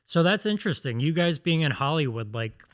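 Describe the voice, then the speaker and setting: A man's voice: on the high side, lively intonation, fairly quick. A man co-hosting a talk show, asking curious, probing questions. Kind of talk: casual chat.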